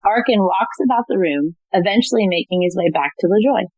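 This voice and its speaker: A woman reads scripted stage directions as the narrator of an audio play. Her voice is husky and mid-pitched, recorded close and dry. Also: animated, a little fast, no room sound, lo-fi sound.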